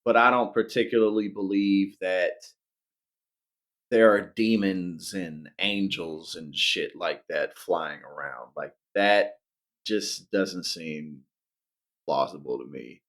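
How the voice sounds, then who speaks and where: A man's voice: deep voice, slow pace, a bit bright. A man co-hosting a podcast, talking things through in a thoughtful, musing way. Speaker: podcast co-host. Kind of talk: casual podcast chat.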